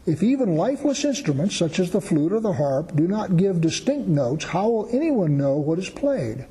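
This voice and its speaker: A man with a fairly high but mellow voice teaches through a Bible passage in a relaxed, conversational way, using plain everyday examples. His voice rings with a long reverb, as in a large hall. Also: moderate pace.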